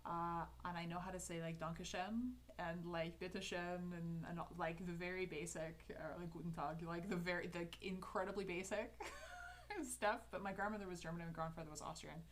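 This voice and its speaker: A woman's voice: fairly low pitch, moderate pace, a little hiss or hum. A woman with a Canadian English accent chatting casually with her live viewers while she letters, upbeat and full of fillers and restarts. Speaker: calligraphy livestreamer.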